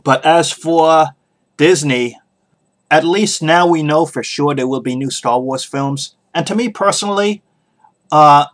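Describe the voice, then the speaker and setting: A man hosting a podcast, giving casual solo opinions in a halting way, pausing often as he thinks aloud. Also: fairly high pitch, a bit bright. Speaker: podcast host.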